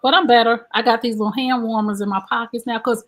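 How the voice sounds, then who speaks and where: Woman host, fifties, talkative live-stream chat; slightly higher voice. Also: fast. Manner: chatty, animated storytelling. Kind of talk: casual live-stream talk show.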